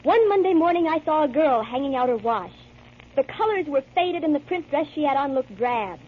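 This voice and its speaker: A woman's voice: high voice, expressive, brisk. An actress playing a playful, mock-serious lady detective in a scripted 1940s radio ad sketch. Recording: thin, narrow-band old radio, faint hiss.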